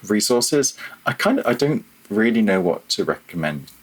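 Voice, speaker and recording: Male interview guest, deep and husky, informal, modest, feeling his way through each thought. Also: very animated, moderate pace. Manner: hesitant, self-deprecating, thinking aloud. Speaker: podcast guest, freelance audio developer. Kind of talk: casual interview chat.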